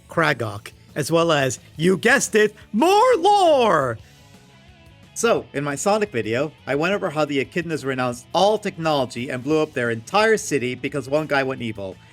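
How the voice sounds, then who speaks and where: Man, somewhat high voice, scripted comedic review, sarcastic, exasperated, emphatic delivery, light music behind. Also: fairly bright tone. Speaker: video essayist reviewing comics. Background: background music.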